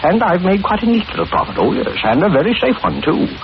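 An actor playing a smugly genial older killer in 1940s radio horror, with a mock-courteous, unctuous manner, on an old broadcast recording. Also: male voice, sits high, very animated, fast, gravelly, dull audio, a little hiss or hum, full character voice. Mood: smug, gloating. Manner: mock-courteous, unctuous, chuckling, repeats himself. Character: sinister, smugly genial older male killer luring young women.

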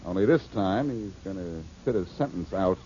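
Casting: a wisecracking detective, very deep voice with lively swings, muffled old radio sound. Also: male voice, moderate pace, a little hiss or hum. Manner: breezy, wisecracking. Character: Boston Blackie, wisecracking adult male detective. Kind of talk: 1940s radio acting.

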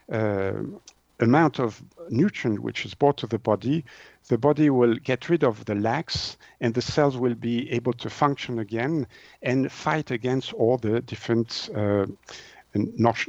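A man's voice: mid-range voice, dry recording. Swiss guy, french native speaker, explaining stuff in an interview, keeps searching for words, kinda dull lo-fi audio. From Switzerland. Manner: halting, searching for words in non-native English. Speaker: naturopath and author, interview guest.

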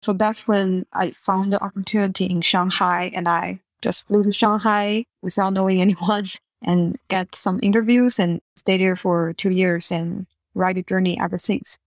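A woman, answering interview questions in an earnest, explanatory way with slightly halting phrasing over a remote call. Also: mid-range voice, fairly even intonation, no room sound. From Taiwan. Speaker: podcast guest.

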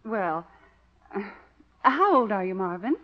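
An actress playing a polite, patient American schoolteacher, courteous and gently firm, in 1950s radio comedy. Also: female voice, mid-range voice, very animated, slow. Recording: muffled, noisy old radio recording.

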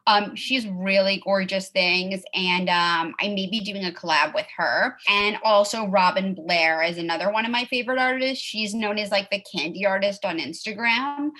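A woman in her thirties hosts a relaxed chat, filling her talk with "like" and quick asides. Her voice is bright and forward. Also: mid-range voice. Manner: chatty, peppered with "like", quick asides and laughs. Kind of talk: casual chat.

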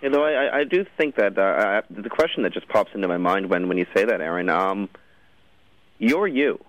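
A man's voice: lowish voice, expressive, moderate pace, no room sound, lo-fi sound. A man hosting an interview show, asking his questions in a conversational style. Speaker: radio show host, interviewer.

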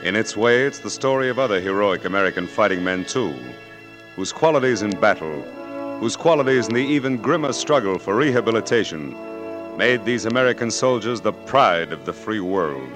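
Solemn low radio drama narrator, man. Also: expressive, normal speed. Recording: 1940s radio broadcast with music.

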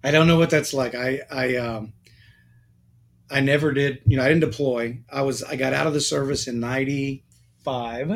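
A middle-aged man talking casually about his service years in a relaxed, rambling way. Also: mid-range voice, normal speed. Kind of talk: casual conversation.

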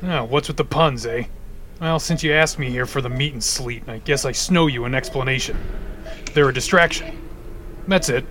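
An actor playing a practical, pun-loving ice supervillain who talks bluntly and dryly in an audio drama. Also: male voice, medium pitch, moderate pace, noisy background, lightly acted. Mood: wry. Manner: dry, blunt, cracks cold puns.

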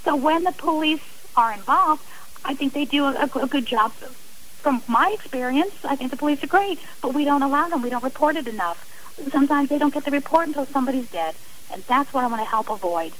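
A woman with a fairly high voice speaks as an interview guest on the radio. She is earnest and emphatic, making her points with conviction. A faint hiss sits on the recording. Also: lively intonation, fairly quick, low-quality recording. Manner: emphatic, impassioned.

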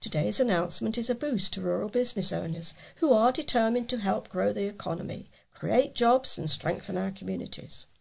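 A woman reading local news aloud as a volunteer newsreader, with a husky, creaky voice. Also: medium pitch, brisk, hardly any pauses, a little mellow.

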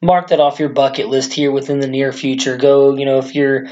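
A man with a hoarse, worn-out voice hosting a casual sports podcast, rambling excitedly as a fan. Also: medium pitch, brisk, lo-fi sound. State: hoarse from screaming. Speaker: podcast host.

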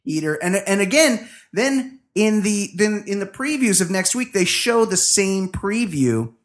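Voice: medium pitch, very animated, unhurried. A podcast co-host chatting casually, joking in a crude, blunt way.

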